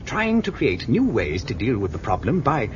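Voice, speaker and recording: A man playing a cautious, tentative technical adviser in a 1970s sci-fi radio drama, speaking haltingly. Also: mid-range voice, huge pitch swings. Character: hesitant male technical adviser, cautious and deferential. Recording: dull lo-fi recording, faint noise.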